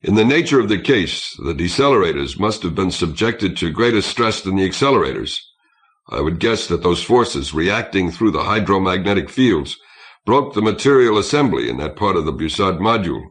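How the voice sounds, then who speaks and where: A man's voice: deep voice, very animated, moderate pace, fairly bright tone. A man reading an audiobook, voicing a proud starship captain who speaks stiffly and formally about technical matters. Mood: tired, resigned. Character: proud, contemptuous Russian starship captain, desperate underneath. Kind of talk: audiobook character acting.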